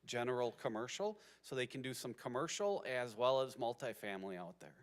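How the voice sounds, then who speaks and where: A man's voice: mid-range voice, lively intonation, a little fast. An American man speaking as an official in a meeting, explaining details in an informal way.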